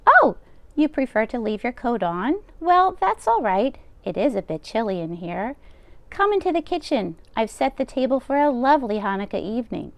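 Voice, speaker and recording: A woman's voice: fairly high pitch, big pitch swings, moderate pace, no room sound, voice pushed up. A woman voicing a kindly, fussing grandmother of ninety-seven who is hard of hearing, in a read-aloud storybook. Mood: chatty. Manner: cheerful, fussing hostess.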